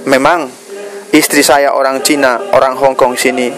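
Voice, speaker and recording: A man with a Javanese Indonesian accent giving earnest, somewhat preachy commentary to his viewers as a video blogger, pausing often mid-phrase. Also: mid-range voice, flat delivery, noisy background. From Indonesia, Java.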